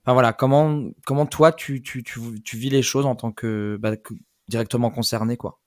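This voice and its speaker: A man at a middle pitch hosts a relaxed podcast conversation, working his way through long, searching questions. The sound is dry and close. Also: normal speed, no room sound. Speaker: podcast host. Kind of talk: casual interview chat.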